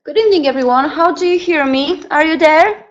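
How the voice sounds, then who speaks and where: A woman's voice: high voice, a little fast. A young woman from Poland with a Polish accent, hosting a live webinar in an upbeat, chatty way. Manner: upbeat, chatty, greets the audience warmly. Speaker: webinar presenter. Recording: dull lo-fi call audio.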